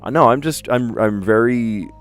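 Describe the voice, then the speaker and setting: A man's voice: medium pitch, moderate pace, faint music behind. A man from the US East Coast with a general American accent, hosting a puzzle livestream and chatting casually as he thinks aloud, with careful diction.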